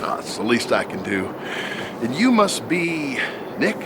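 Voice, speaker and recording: A man's voice: medium pitch, big pitch swings, heavy background noise. An actor playing a menacing, sardonic crime-boss father in a video game, drawing out his words with mock politeness. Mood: smug menace. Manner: drawn-out, mocking, mock-polite delivery. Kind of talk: video game cutscene acting.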